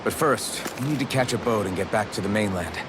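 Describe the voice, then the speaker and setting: A man's voice: on the low side, fairly quick, very noisy, lightly acted. An actor playing a determined, plain-spoken young swordsman hero in a video game, with music behind him. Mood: hopeful.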